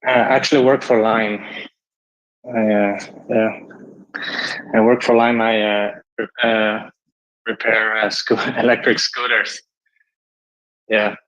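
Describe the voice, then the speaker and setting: A man from Switzerland calling into a live chat, answering in short, halting replies. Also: fairly low pitch, flat delivery, a bit bright. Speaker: caller. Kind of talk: casual chat. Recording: muffled lo-fi call-in line.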